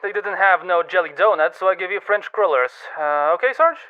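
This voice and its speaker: An actor in a radio drama playing a casual police station underling with a folksy, ungrammatical way of talking. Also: male voice, sits high, fairly quick, clean tone, phone-quality sound, lightly acted. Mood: casual, apologetic.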